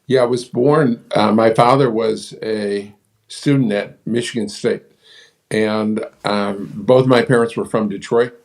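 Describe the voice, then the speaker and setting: Older man, low, matter-of-fact storyteller. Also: fairly even intonation, measured pace. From upstate New York, United States. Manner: plain, matter-of-fact storyteller with some fillers. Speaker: guest, businessman. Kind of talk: interview storytelling.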